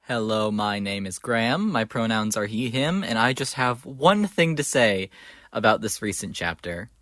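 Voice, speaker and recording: A man's voice: on the low side, expressive, moderate pace, fairly bright tone, no room sound. A man leaving a listener voice message in mock outrage, on a noisy amateur recording.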